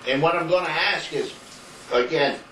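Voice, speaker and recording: A man's voice: on the high side, normal speed, fairly bright tone. A middle-aged man, patiently questioning his mother about family history. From Rhode Island. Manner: patient, questioning, a bit rambling. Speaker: son interviewing his mother. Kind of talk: casual family interview. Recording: echoey home video, distant mic, hiss.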